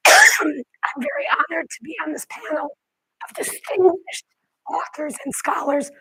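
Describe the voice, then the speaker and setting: A woman on a panel, hoarse and half-whispering through a cold, coughing and clearing her throat between phrases. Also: high-pitched, very animated, a little slow, pushed and bright, no echo, rough recording. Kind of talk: panel talk.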